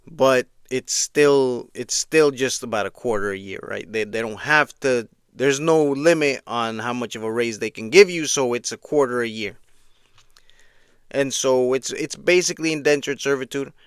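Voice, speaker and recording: A man with a smooth, clear, mid-pitched voice talks in a loose, conversational way, restarting phrases and tossing in "right?" as he goes. The recording is dry and close with no room sound. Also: moderate pace, dry recording. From Mexico, raised in Eastern Washington. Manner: conversational, frequent restarts and "right?" check-ins. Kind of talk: casual spoken commentary.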